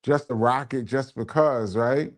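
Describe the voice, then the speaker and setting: A man with a mid-range voice hosts a casual live stream. He talks conversationally, reading out comments from viewers and handing out shout-outs. He tends to repeat a phrase for emphasis as he reminisces like a DJ chatting with regulars. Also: mid-range voice, measured pace.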